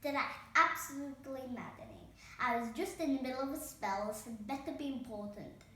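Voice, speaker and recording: A woman's voice: high-pitched, expressive, moderate pace, bright, forceful tone, recorded from a distance, a little hiss or hum. Woman putting on an exasperated bossy fairy godmother, echoey. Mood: irritated. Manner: exasperated, lecturing. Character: bossy grumbling fairy godmother, reluctant and scolding.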